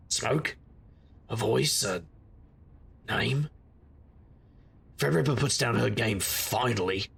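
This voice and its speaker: An actor playing a wry, world-weary supernatural private detective, narrating a noir audio drama in a gravelly, husky, deadpan voice. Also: male voice, mid-range voice, full character voice.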